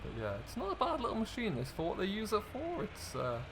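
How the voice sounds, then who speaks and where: A man's voice: high-pitched, huge pitch swings, fast talker, gravelly, background noise. A man giving a chatty, easygoing running commentary to viewers as he plays a farming game. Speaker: host, gaming video creator. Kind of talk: casual let's-play commentary of a farming simulator.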